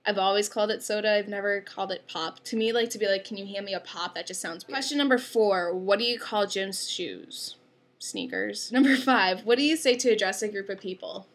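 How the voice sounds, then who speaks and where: A woman's voice: mid-range voice, a little fast. A young woman with an upstate New York accent, chatting casually through an accent tag video, rambling with many fillers. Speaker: vlogger.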